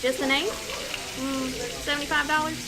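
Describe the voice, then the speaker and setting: A laid-back coworker, high voice. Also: female voice, level tone, normal speed. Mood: teasing, wry. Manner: casual, teasing. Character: laid-back, wry young female grill cook coworker. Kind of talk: audio drama acting.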